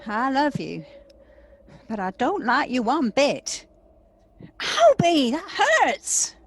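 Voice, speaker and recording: A woman's voice: high-pitched, big pitch swings, slow, husky, heavily acted. A woman playing a jealous, wounded and accusing sister in an audio play, dramatic and confrontational. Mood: angry.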